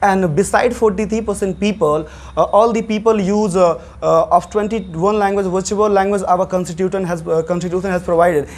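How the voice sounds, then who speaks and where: A man's voice: sits high, fairly even intonation, a little fast. A young man with an Indian English accent giving a classroom debate speech, hesitant and full of fillers. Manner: hesitant, many fillers and restarts. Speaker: student debater.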